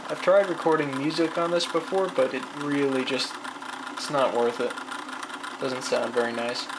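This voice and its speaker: Clear machine noise sits behind a gravelly, mid-pitched voice. The speaker gives a casual, unscripted hobbyist demonstration, rambling and half talking to themselves as they go. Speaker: hobbyist demonstrating vintage tape recorder. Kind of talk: casual off-the-cuff demonstration chat. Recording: clearly noisy home video, machine hum.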